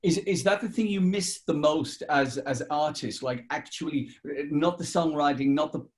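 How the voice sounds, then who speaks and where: A British man with a somewhat high-pitched voice talks as a radio interviewer. He is conversational and a little rambling, repeating small words as he builds his questions. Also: moderate pace. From United Kingdom.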